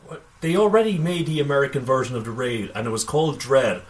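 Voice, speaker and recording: A man co-hosting a casual film news podcast, sometimes reading items out, with halting delivery and wry asides. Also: mid-range voice. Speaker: podcast co-host. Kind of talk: casual film news discussion, partly read out. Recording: voice slightly distant from microphone.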